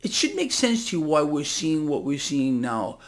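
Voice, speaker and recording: A man with a distinctly high voice delivers impassioned, unscripted market commentary. He addresses his audience directly as a channel host, leaning hard on key words with an incredulous, conspiratorial edge. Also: big pitch swings, normal speed.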